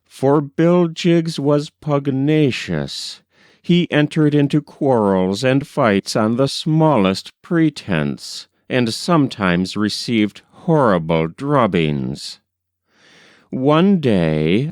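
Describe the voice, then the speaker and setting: A man reading a nineteenth-century novel aloud as an audiobook narrator, with measured, deliberate phrasing. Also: mid-range voice, a little mellow, dry recording, clean studio sound.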